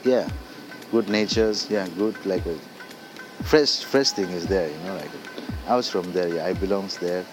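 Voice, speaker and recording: A Nepali-speaking man with a Nepali accent, a singer-songwriter talking casually as a guest, halting and full of fillers like "you know" and "like". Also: deep voice, over music, rough recording. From Darjeeling hills, India. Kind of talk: casual interview. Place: indoors.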